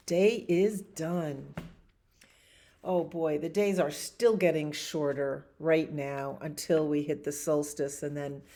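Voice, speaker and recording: A woman hosting a bedtime story time for young children, chatting in unhurried asides and putting questions to the listeners. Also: low-pitched, dark tone. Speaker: library storyteller.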